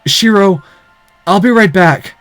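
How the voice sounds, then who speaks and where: A man's voice: high voice, slow pace, fairly bright tone, no room sound, faint music behind, pitched above the performer's own voice. A man playing a determined but self-doubting young man on a rescue in a visual novel, tense and earnest. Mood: resolute.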